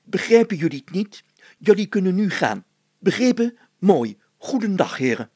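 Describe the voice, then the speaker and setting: A man's voice: sits high, big pitch swings, normal speed, a little mellow, husky, no room sound, voice pushed up, heavily acted. A man voicing a bossy, sly village mayor who talks pompously with hems and repeats while feigning kindness in a storybook. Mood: commanding.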